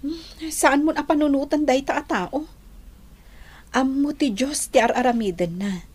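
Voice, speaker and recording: An actress playing a poor, devout and patient housewife in an Ilocano radio drama. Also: female voice, high voice, measured pace, darkish tone. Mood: concerned, pious.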